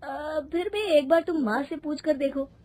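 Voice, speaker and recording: A woman voicing an easygoing, reasonable young husband who speaks in a reassuring, measured way in a moral story. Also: sits high, a little mellow, close to an everyday voice. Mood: reasoning. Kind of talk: moral story character acting.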